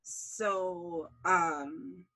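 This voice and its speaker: Slow-paced woman in a casual interview. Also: lowish voice, fairly even intonation, vocal fry, sharp tone, rough recording. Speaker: series creator being interviewed.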